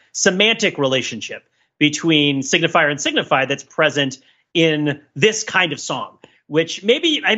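A man's voice: a little high, sharp tone, husky. A middle-aged man co-hosting a podcast, chatting in a rambling, wry way on slightly lo-fi audio. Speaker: podcast co-host. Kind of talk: casual comic-analytical chat.

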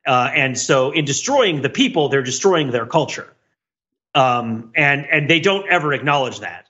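A man co-hosting a podcast, chatting casually about pop culture in a rambling, digressive, animated way on a slightly lo-fi track. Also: mid-range voice, moderate pace. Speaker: podcast co-host.

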